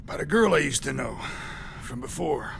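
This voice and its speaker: An actor playing a cocky, crude older raider held captive in a video game, with a gravelly drawl and a taunting, folksy manner. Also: male voice, mid-range voice, animated, brisk, a bit bright, slight background noise. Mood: sly, groggy.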